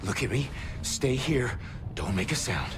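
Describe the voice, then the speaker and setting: A woman's voice: very deep voice, big pitch swings, measured pace, noisy background, heavily acted. A woman playing a frightened, protective mother speaking to her small child in a game cutscene. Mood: frightened, tender. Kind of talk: dramatic game cutscene acting. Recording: loud music under the voice.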